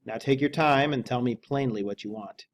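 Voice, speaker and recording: A man voicing a courteous, firm gentleman lawyer in a measured, questioning manner, as in an audiobook. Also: mid-range voice, a little hiss or hum, natural voice. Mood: calming.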